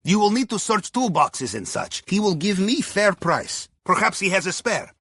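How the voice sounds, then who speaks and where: A man's voice: high voice, very animated, normal speed, a bit bright, husky, no echo, clean studio sound, full character voice. An actor playing a wry, upbeat Russian submarine helmsman in a video game, with a Russian accent and chummy, clipped broken English. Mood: wry, advising. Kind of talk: scripted game dialogue, mission briefing.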